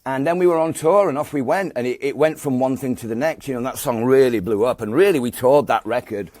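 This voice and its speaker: A man in his fifties speaks with a broad Yorkshire accent at a mid-range pitch, his voice captured close and completely dry. He rambles easily through the story, circling back on himself with fillers and restarts. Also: quick pace, a little mellow, no echo. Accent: Northern English, Yorkshire. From England. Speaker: guest musician. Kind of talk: relaxed storytelling interview.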